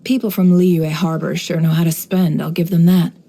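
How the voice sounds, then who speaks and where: An actress playing a shrewd, worldly woman who runs an intelligence business in a video game, speaking in a composed, wry, measured way. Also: lowish voice, flat delivery, creaky, warm tone. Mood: dry, businesslike. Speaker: video game character voice actor. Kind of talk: scripted game character voice lines, monologue.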